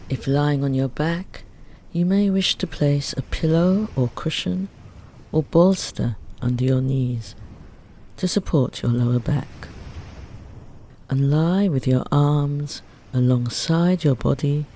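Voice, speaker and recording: Slow, soft-toned meditation guide over ocean waves. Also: medium pitch. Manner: gentle, soothing, unhurried instruction with long pauses. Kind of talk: guided Yoga Nidra meditation narration. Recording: steady ambient noise under the voice.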